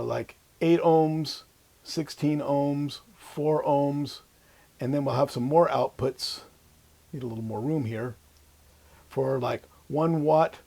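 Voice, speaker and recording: Older male instructor, mellow and gravelly, informal explanatory lecture delivery. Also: on the high side. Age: 60s or older. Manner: informal, thinking aloud while drawing. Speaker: electronics instructor. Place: small indoor room at a whiteboard. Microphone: lapel mic.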